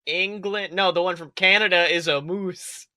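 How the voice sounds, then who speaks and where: A man talking casually as a podcast co-host, excitable and exclamatory, swearing freely as he goes. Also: sits high, normal speed. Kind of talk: casual chat about an animated film.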